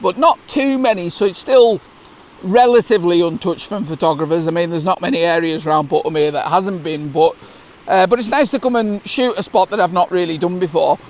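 A middle-aged British man talking casually to camera outdoors, raising his voice over a rushing waterfall. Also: high voice. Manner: raised voice, projecting over water noise. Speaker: landscape photography vlogger. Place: outdoors on a hillside beside a waterfall.

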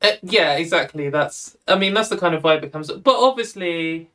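A woman's voice: on the low side, moderate pace, fairly bright tone. A young woman hosting a casual chat over a video call, talking in a chatty way and laughing often. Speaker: host.

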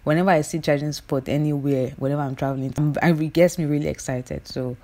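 A woman's voice: sits low, steady tone, quick pace, warm tone. A young woman chatting casually into her phone camera from an airplane seat, reviewing her flight. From Nigeria. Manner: chatty, conversational, self-correcting. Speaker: travel vlogger. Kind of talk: casual vlog commentary. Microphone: phone camera held close.

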